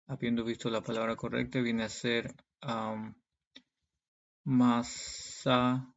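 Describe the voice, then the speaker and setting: A man teaches from scripture in a gravelly, creaky voice. He keeps a fairly flat, mid-pitched line as he reads verses and explains them, adding short check-in tags. The recording is dull and lo-fi. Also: slow pace. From Peru. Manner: didactic, punctuated with check-in tags like "okay" and "right". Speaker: preacher, Bible teacher. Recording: dull, lo-fi sound.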